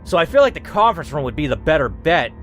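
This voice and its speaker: Man reading a story game, lively pitch. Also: fast talker, faint music behind. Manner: reads in first person, then breaks off to think aloud and curse. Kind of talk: reading narration with playthrough commentary.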